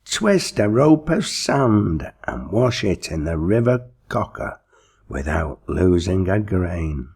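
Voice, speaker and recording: A man's voice: deep voice, big pitch swings, takes it slowly, a little mellow, breathy edge, exaggerated voice. A man voicing a nervous, cunning schoolmaster wizard in a storybook, plaintive and exclamatory. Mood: gleeful. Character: terrified, cunning male schoolmaster wizard, quavering and pleading. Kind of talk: storybook character voice.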